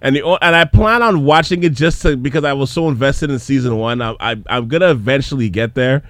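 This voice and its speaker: Brief: mid-voiced man, lively podcast host, dry close-miked. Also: medium pitch, fairly quick, no room sound. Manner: animated, repeats words when worked up. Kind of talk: casual chat.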